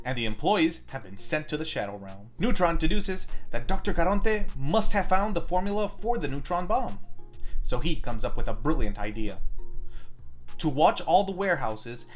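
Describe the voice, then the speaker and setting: Animated man narrating a deadpan movie recap. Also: a little high, normal speed. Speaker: film review host. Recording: music bed under the voice. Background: music.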